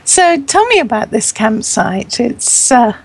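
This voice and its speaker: A woman's voice: a little high, sing-song, takes it slowly, husky. A woman interviewer conducting a friendly event interview in a complimentary, encouraging way. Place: online voice chat in a virtual world.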